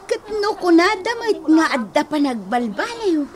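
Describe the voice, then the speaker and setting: A woman's voice: very high voice, sing-song, moderate pace. An actress playing a gossipy, teasing older neighbour woman in a radio comedy drama, drawing out her words playfully. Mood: sly, gossipy.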